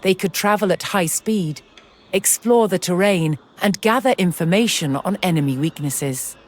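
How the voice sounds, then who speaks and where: A woman giving a measured, formal, scripted historical narration in a documentary style. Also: lowish voice. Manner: measured, formal storytelling delivery. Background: music.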